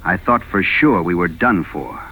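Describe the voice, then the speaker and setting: An actor playing a shaken, wounded frontier wagon escort in an audio western, telling things in folksy, halting phrases. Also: male voice, deep voice, lively intonation, pushed and bright, husky, heavily acted, rough recording. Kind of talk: dramatized audio western dialogue.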